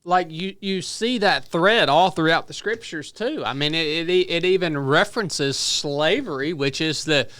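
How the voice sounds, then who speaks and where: A man's voice: on the high side, a little slow, few pauses, a bit bright. A man in a casual discussion of faith, speaking earnestly and repeating phrases for emphasis. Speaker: podcast team member.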